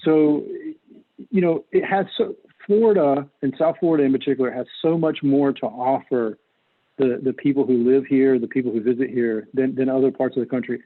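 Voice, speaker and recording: A man's voice: mid-range voice, brisk. American man on a tinny, phone-like line. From North Carolina, United States. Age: middle-aged. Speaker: political candidate, lawyer. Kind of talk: interview answers, campaign talk.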